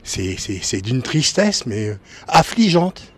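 A man from northern France, a former cook, giving frank opinions slowly with hesitations. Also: mid-range voice, big pitch swings, breathy edge. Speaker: interviewed resident, former cook. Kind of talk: street interview.

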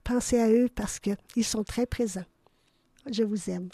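A woman's voice: fairly high pitch, a little slow, warm tone, no echo. An older woman from Ontario with a Canadian French accent, hosting a radio show in a studio, effusive and affectionate, laughing often. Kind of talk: casual radio chat.

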